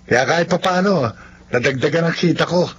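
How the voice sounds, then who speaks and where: A man's voice: fairly high pitch, fairly quick, slight background noise, natural voice. A man with a Filipino accent acting an earnest, loyal young best friend in a radio drama. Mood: cheerful, friendly. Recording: dull lo-fi radio, faint music behind.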